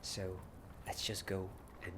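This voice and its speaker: A man's voice: low-pitched, completely flat delivery. A man playing a friendly, secretive wizard-school companion in an ASMR roleplay, speaking in a hesitant, confiding way and often dropping to a whisper. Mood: confiding, adventurous. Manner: hesitant, halting, hushed and confiding. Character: friendly, secretive Hogwarts companion eager for a ghost party. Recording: clearly noisy ambient background.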